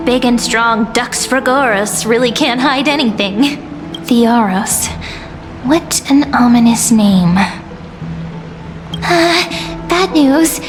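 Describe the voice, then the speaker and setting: Calm, high-voiced, measured heroine. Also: female voice, long reverb tail. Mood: wary. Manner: polite, measured, coolly observant. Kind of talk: video game voice acting. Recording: music under the voice.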